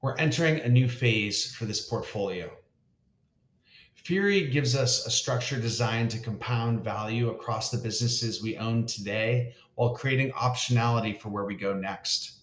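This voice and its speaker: A man's voice: medium pitch, normal speed. Echoey male chief executive, formal delivery. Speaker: company founder and chief executive. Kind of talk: scripted earnings call remarks. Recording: echoey, roomy sound.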